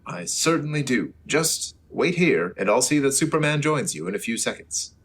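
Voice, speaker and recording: Radio serial reporter hero, male, brisk and polite, acted by a man, husky, expressive delivery. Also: mid-range voice. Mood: reassuring. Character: earnest, brisk, courteous male newspaper reporter. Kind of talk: old-time radio hero acting.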